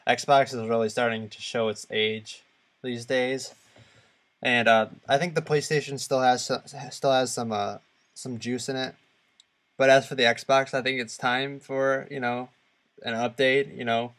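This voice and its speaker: Halting man in casual podcast talk. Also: medium pitch, fairly even intonation, a bit bright. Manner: halting, searching for words. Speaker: podcast co-host. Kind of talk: casual discussion of video games.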